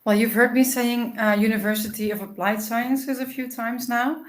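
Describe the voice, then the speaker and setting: A woman's voice: fairly high pitch, steady tone, normal speed, roomy sound. A woman hosting an informational webinar, explaining things in a friendly way with frequent "uh" and "um". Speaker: webinar host.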